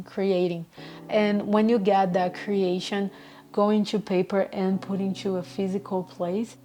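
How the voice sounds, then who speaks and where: Mellow-voiced woman vlogging gently. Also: medium pitch. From Brazil. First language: Portuguese. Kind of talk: casual reflective monologue.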